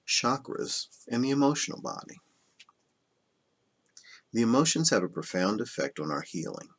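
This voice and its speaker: A man reading a self-help book aloud as scripted narration, in a measured, instructional manner that stresses key terms. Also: medium pitch, big pitch swings. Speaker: audiobook reader.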